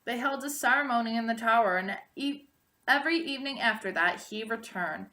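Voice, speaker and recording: A woman reads a fairytale aloud in a bright, fairly high voice. Her storytelling is expressive and deliberate, with drawn-out phrase endings. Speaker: storybook reader. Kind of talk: read-aloud storybook narration.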